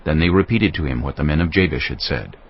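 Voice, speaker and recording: Very deep, husky male scripture narrator. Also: expressive, fast. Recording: slightly muffled studio sound.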